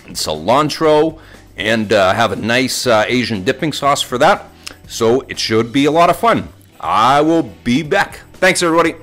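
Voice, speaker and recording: A man talking casually as a podcast host, walking listeners through cooking step by step in an informal, conversational way. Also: medium pitch. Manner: informal, conversational, describes steps as they go.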